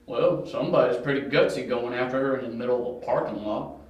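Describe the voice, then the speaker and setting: A man's voice: mid-range voice, fast talker, close to an everyday voice. A man acting a brusque, commanding police officer in an amateur audio drama. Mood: grimly wry. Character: brusque male police officer clearing a crime scene. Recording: very echoey space, distant mic.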